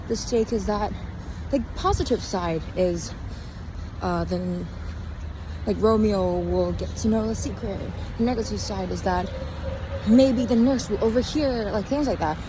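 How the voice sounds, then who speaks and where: Young female podcaster, weary and halting, unhurried casual diary ramble, clearly noisy outdoor recording with traffic. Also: mid-range voice. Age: young adult. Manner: halting, trailing off mid-thought. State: tired. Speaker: podcast host. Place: outdoors on a walk in a town. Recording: outdoor street noise with passing cars. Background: traffic and urban ambience.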